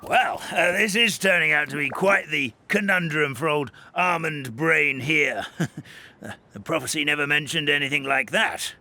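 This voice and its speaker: An actor playing an old, forgetful paper creature who acts as a gentle, fretful elder, rambling in a dramatic grandfatherly way. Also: on the high side, moderate pace. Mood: friendly. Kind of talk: video game dub acting.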